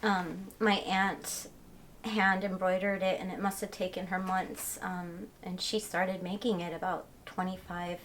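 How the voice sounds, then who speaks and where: A woman speaking in a measured way, with frequent pauses, about an object from home. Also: medium pitch, slight background noise. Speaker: interviewee. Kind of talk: reflective personal testimony.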